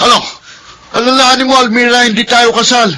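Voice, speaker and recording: A man's voice: very high-pitched, little pitch movement, fast. An actor playing a young man who brushes off his partner with defiance in a classic radio drama. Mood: defiant. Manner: dismissive, defiant. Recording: noisy old radio drama recording.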